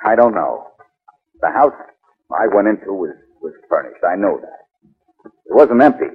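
An actor playing a brash, glib amateur detective under suspicion, in 1940s radio drama style. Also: male voice, low-pitched, big pitch swings, unhurried, breathy edge, exaggerated voice. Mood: puzzled, insistent. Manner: wry, glib, wisecracking. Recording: muffled old 1940s radio recording.

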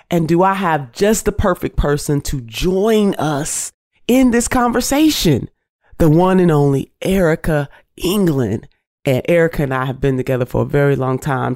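A woman's voice: low voice, dark tone, no echo, clean studio sound. A woman hosting a podcast interview, sounding nasal as she gets over a cold, talking emphatically with preacherly catchphrases. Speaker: podcast host.

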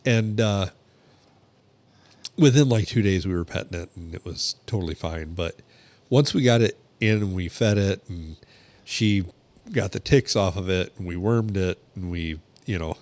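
A man's voice: low-pitched, normal speed, a little mellow, no echo. A man co-hosting a podcast from a home garage, telling rambling stories in a casual chat and swearing freely. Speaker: podcast co-host.

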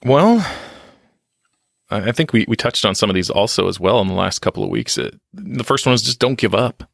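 A man's voice: fairly low pitch, animated, fairly quick, vocal fry, dry recording, studio quality. A man talking candidly in a podcast interview, reflective and self-deprecating, often restarting his sentences. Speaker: podcast guest on leadership and success.

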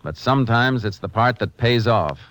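A man playing a methodical Texas Ranger in a 1950s radio drama, narrating and talking in a measured, authoritative, matter-of-fact way. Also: medium pitch, animated, over loud music, natural voice. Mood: businesslike. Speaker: lead actor and narrator. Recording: dull lo-fi 1950s radio recording.

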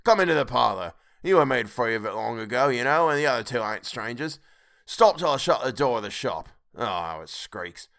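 Storybook role: wily old male dealer, acted by a narrator, seventy, folksy, jocular, animated. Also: medium pitch, a little fast, gravelly. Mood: jovial, welcoming. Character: sly gray-haired old rascal shopkeeper, nearly seventy.

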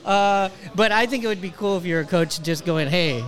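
High-voiced man hosting a casual chat. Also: normal speed, fairly bright tone, soft background music. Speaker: interviewer, podcast host.

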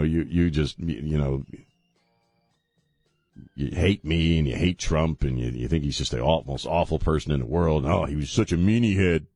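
A man's voice: very deep voice, a little mellow. A middle-aged man hosting a talk radio show, rambling and sardonic, often restarting his sentences. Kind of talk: casual talk radio monologue. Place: radio studio. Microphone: studio microphone.

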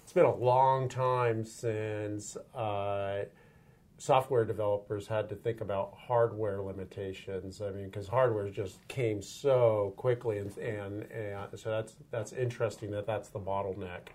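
A man's voice: lowish voice, steady tone, measured pace, a little mellow. A man hosting an interview, asking curious questions and thinking aloud with fillers. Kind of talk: conversational interview.

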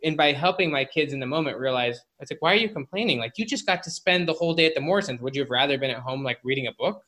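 Bright-voiced man explaining casually in an interview. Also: a little high, expressive, rapid-fire. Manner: informal, filler-heavy with frequent "like" and "you know". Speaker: guest psychologist and author. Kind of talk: conversational interview explanation with anecdotes.

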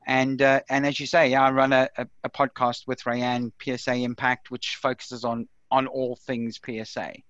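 A man's voice: medium pitch, level tone, moderate pace, hardly any pauses, a bit bright, no echo, highs cut off. A middle-aged man with a South African accent, a podcast guest talking casually with many fillers and restarts. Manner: lots of fillers and repeated words. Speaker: podcast guest, software consultant. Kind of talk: casual interview chat.

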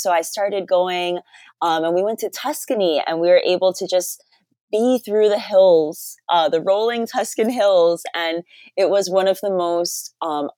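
A woman's voice: on the low side, a little mellow, dry recording. A young adult American woman from Hawaii, talking as an interview guest and telling stories in a conversational way. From Hawaii, United States. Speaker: podcast guest, sommelier.

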